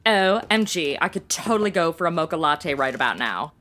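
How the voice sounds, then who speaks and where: A woman's voice: deep voice, very animated, fairly bright tone. An actress playing a flippant young American woman in her twenties with slangy valley-girl drawl, in a comedy audio drama. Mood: sassy, flippant. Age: 20s. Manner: slangy valley-girl talk with drawn-out words. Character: flippant modern twenty-something woman, sassy amateur detective.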